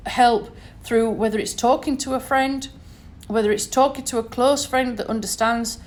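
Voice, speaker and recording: An earnest woman from Sheffield, speaking with a Northern English accent in a slightly high voice. She talks straight to her listeners in an advising tone and keeps checking in with "okay?" and "you know". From Sheffield, England. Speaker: podcast host. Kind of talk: solo podcast talk.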